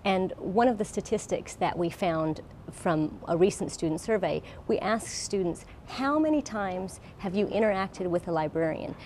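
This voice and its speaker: A woman's voice: fairly low pitch, lively intonation, normal speed, few pauses, darkish tone, a little hiss or hum. A woman speaking as a college librarian, giving an informative interview talk.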